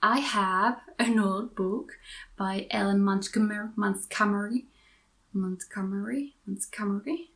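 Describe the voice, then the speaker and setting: A woman's voice: medium pitch, a little slow, a bit bright. A woman with a Finnish accent chatting casually about her books, correcting her own words as she goes. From Finland. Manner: chatty, self-correcting, giggly asides. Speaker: book vlogger. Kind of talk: casual book haul chat.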